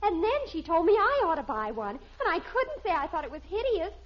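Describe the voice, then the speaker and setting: An actress playing a flustered, evasive American teenage daughter in a 1950s radio sitcom, hesitant and plaintive. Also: female voice, extremely high pitch, sing-song, a little fast. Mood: plaintive, defensive. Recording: dull old radio recording.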